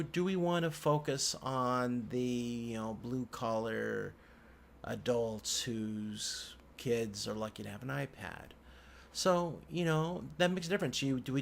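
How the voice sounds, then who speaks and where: A man giving an informal tutorial as an instructor, thinking aloud in halting phrases with frequent restarts. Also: medium pitch. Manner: halting, hesitant phrasing with repeated word restarts. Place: indoors at a computer. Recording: clear background noise, keyboard typing.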